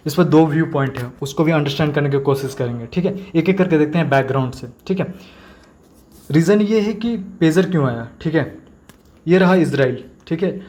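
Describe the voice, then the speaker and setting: Male exam tutor, slightly high voice, informal step-by-step explaining peppered with English terms and check-in tags. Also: a little mellow. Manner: frequent check-in tag phrases, mixes in English terms. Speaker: exam-prep teacher. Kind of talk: informal explanatory current-affairs lecture.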